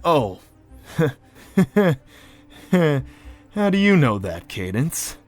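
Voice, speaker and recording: A man's voice: a little high, big pitch swings, extremely slow, soft background music, full character voice. A man playing an amiable cartoon pony prince with a casual, good-natured and slightly hesitant way of talking. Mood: sheepish. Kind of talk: scripted audio drama acting.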